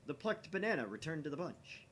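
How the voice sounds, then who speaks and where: A man voicing a grandiose young mad scientist in a visual novel, declaiming theatrically with pompous, dramatic pauses. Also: fairly high pitch, very animated, fairly bright tone, slight background noise, pitched above the performer's own voice. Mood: smug, grandiose.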